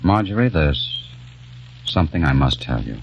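An actor playing a grieving young man who narrates and speaks in a grave, hushed, sorrowful way in a 1940s radio drama. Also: rumbling low, sing-song, moderate pace, slight background noise, heavily acted. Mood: quiet, grave. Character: grieving young man haunted by lost love. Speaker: narrator and lead. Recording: dull lo-fi old radio recording with faint music.

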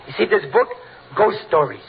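A man's voice: moderate pace, natural voice. An American man playing a blustering, joking working-class father in 1940s radio comedy, with folksy, ungrammatical talk. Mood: joking, breezy. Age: middle-aged. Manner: folksy, ungrammatical, chuckling wisecracks. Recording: tinny, noisy 1940s radio recording.

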